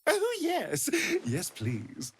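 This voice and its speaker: An actor playing a flamboyant, teasing rogue with an arch, theatrical manner in a fantasy game. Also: male voice, high voice, wildly swooping pitch, very slow, darkish tone, a little hiss or hum, full character voice. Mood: delighted, teasing.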